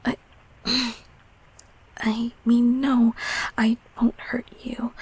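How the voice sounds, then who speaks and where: Gentle, nervous monster from the closet, female performer, high creaky voice, stammering and apologetic, intimate audio roleplay. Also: full character voice, low-quality recording. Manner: flustered, stammering, repeating words. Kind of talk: audio roleplay acting, spoken to the listener.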